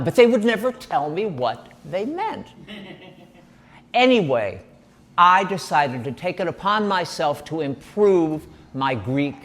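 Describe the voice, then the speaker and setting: Animated, unhurried male storyteller. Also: a little high. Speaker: solo performer. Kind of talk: one-man stage storytelling. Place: gallery stage.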